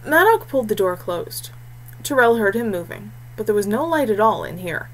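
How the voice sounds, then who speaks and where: A woman's voice: medium pitch, normal speed, low-quality recording. A woman reading a scripted fantasy story as an audiobook narrator. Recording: clear steady background noise and hum.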